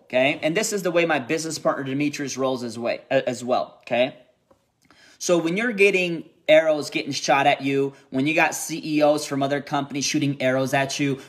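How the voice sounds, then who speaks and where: Male company leader, fairly high voice, rambling motivational pep talk with lots of check-ins. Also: a bit bright. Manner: repetitive, filler-heavy, keeps checking in with "right?" and "okay?". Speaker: company leader addressing team.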